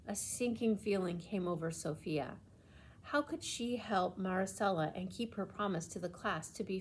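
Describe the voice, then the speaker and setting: A woman narrates a children's storybook with deliberate, expressive emphasis. Her voice sits at a medium pitch, and faint noise lies behind the recording. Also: vocal fry. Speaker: librarian reading a children's book aloud.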